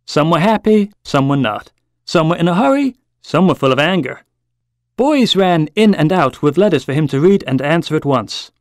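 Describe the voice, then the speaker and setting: A man reads a short story as scripted narration, in a fairly high voice that moves around a lot. His delivery is measured and expressive, and the sound is close and dry. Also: no room sound. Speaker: story reader.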